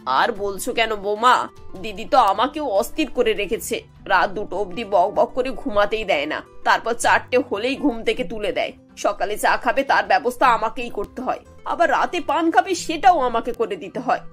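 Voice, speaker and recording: A woman voicing a put-upon, quietly scheming older mother-in-law in a cartoon, grumbling in a confiding way. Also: mid-range voice, lively intonation, moderate pace, faint music behind, lightly acted. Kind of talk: animated cartoon dialogue.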